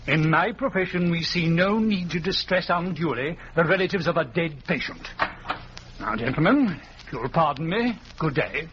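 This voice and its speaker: A man plays a self-assured country doctor with a pompous, formal delivery and faint impatience. His voice sits a little high. It is 1940s radio drama, dull-sounding with faint noise. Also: lively intonation, normal speed, natural voice. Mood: curt. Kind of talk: 1940s radio mystery acting. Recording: dull, noisy old radio recording.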